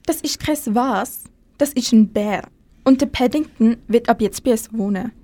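A woman's voice: fairly high pitch, moderate pace, dark tone, no echo, natural voice. A female performer with a Swiss German accent playing an eager, welcoming young daughter in an amateur radio play. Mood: firm. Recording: faint background noise.

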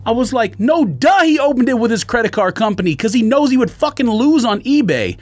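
A man's voice: extremely high pitch, very animated, brisk, darkish tone. A man telling a story as a casual podcast rant, slangy and exasperated, acting out both sides of exchanges. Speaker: sneaker podcast co-host.

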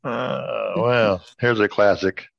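A man's voice: lowish voice, steady tone, slow, creaky, dull audio. A man co-hosting a podcast, trading jokes in casual banter. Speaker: podcast co-host. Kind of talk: casual banter and joke telling.

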